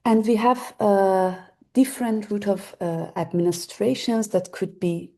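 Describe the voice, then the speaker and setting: A woman in her forties, a native Persian speaker, giving a webinar talk on medical research in a halting way, with many fillers and restarts. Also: mid-range voice, fairly even intonation, mellow. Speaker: webinar presenter. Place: Germany.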